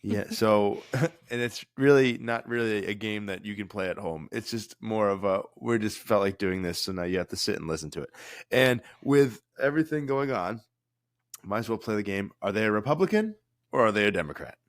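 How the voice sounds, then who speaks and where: Man co-host, a bit low-pitched, voice dulled by a cold, jokey and rambling. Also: expressive, a little fast. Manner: joking, rambling with restarts. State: has a cold, low and mellow voice. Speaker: podcast co-host, former cruise director. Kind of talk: casual podcast chat.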